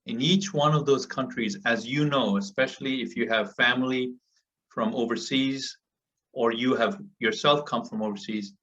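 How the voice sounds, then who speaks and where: A man from Africa delivers an earnest, rallying speech to listeners on a group call. His voice is bright and forceful, and he stacks repeated phrases for emphasis. The call audio sounds dull and muffled, with him a little distant from the microphone. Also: mid-range voice, moderate pace. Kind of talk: motivational speech.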